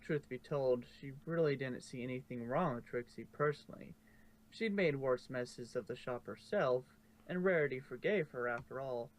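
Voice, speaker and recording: A man's voice: medium pitch, normal speed, rough recording. A man reading scripted fan fiction narration, sometimes stumbling and correcting himself.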